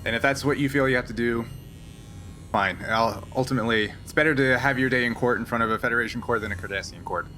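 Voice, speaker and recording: Man acting out smuggler pilot, tabletop space game, guarded, weary, defiant, halting, bright mid-pitched voice, faint noise. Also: natural voice, poor audio. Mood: resigned. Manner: halting, measured, evasive.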